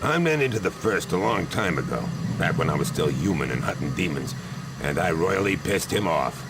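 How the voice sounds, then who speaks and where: An American actor playing a gruff, wisecracking demon hunter trapped in a wooden dummy, with streetwise slang, in a video game. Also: male voice, sits low, sing-song, normal speed, background noise. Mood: sardonic, confiding. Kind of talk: video game voice acting, hard-boiled tough-guy slang. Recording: loud music behind.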